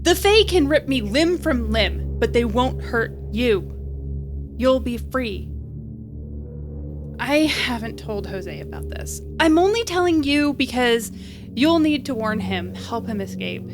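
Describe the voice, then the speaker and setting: High-voiced, reckless young woman, unhurried. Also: animated, over music. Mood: earnest, flippant. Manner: rambling, joking bravado over earnest pleading. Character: reckless, self-deprecating young woman repairperson, earnest and flippant. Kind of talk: audio drama acting.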